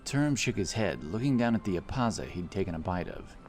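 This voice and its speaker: A man's voice: sits low, very animated, brisk. A man narrating a scripted fantasy story as an audiobook reader. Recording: clear music bed behind the voice. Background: background music.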